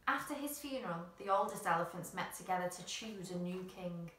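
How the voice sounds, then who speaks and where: A woman's voice: fairly high pitch, a bit bright. A woman, reading a children's picture book expressively in a small room. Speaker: storyteller. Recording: roomy, slightly distant mic.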